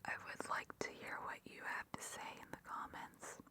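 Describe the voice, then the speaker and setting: A woman speaking in a breathy whisper, rambling informally and sharing opinions in an ASMR style as the host. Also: moderate pace.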